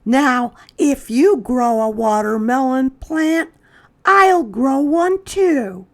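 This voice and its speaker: A high-voiced, earnest boy mouse. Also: lively intonation, slow. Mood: reassuring. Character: earnest, cautious little boy mouse, loyal and prim.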